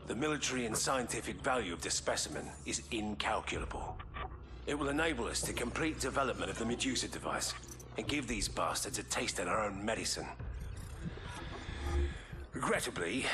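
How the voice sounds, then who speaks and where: An actor playing a ruthless, sardonic British soldier in a video game cutscene, taunting and deliberate. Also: male voice, on the low side, close to an everyday voice. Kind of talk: video game cinematic acting.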